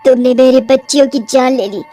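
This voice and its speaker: Woman doing sad pregnant witch for a horror cartoon, high plaintive voice, bit of music behind. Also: steady tone, brisk, mellow, close to an everyday voice. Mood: vengeful. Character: sorrowful pregnant witch, young dead wife turned ghost. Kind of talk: Hindi horror cartoon voice acting.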